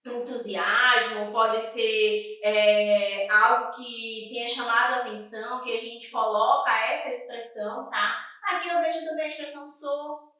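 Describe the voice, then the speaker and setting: A woman's voice: on the high side, steady tone, measured pace, pushed and bright, very echoey, recorded from a distance. A young woman from Northeast Brazil with a Northeastern Brazilian accent, teaching an exam-prep lesson and checking in with "tá?" after nearly every point. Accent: Northeastern Brazilian Portuguese. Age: young adult. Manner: explanatory, frequent "tá?" check-ins.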